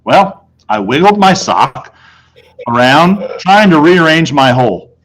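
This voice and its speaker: A man's voice: a little high, big pitch swings, slow pace, fairly bright tone, low-quality recording. Man reading a children's story aloud. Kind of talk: read-aloud storytelling, first-person narration.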